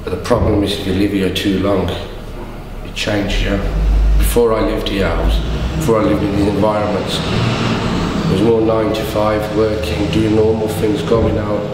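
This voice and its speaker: A man in his thirties, a hostel resident speaking candidly and reflectively in a documentary interview. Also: fairly low pitch. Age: 30s. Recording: echoey hall, distant mic, noise.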